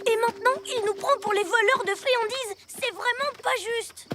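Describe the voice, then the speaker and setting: A woman voicing an excitable, indignant little boy superhero in a cartoon, emphatic and a bit whiny. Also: extremely high pitch, moderate pace. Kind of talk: French animated series dubbing.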